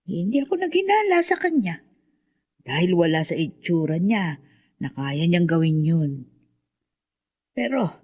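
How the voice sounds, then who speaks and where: A woman's voice: lowish voice, darkish tone. An actress playing an anxious, sorrowful mother of a grown daughter in a radio horror drama, speaking emotively and hesitantly.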